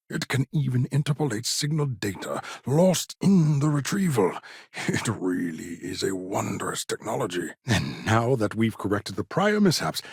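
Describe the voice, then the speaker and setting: A man's voice: medium pitch, creaky, husky, no echo, clean studio sound, full character voice. An actor playing an eccentric, condescending but eager male scientist in a space video game, speaking in a fussy, wry, pedantic way. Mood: dry, pedantic. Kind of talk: video game character dialogue.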